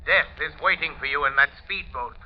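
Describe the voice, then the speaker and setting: A man playing a relentless, taunting invisible crime fighter with an ominous, deliberate manner in an old-time radio drama. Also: on the high side, natural voice. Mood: grave warning. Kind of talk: 1930s-40s radio drama acting. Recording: muffled, noisy old radio recording.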